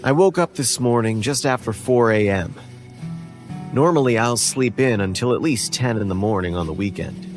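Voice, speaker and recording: An actor playing an earnest, determined young baseball player, narrating his own story in a conversational, deliberate way for an audio drama. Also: medium pitch, animated, natural voice. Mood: resolute, tense. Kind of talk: scripted first-person audio drama narration. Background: music.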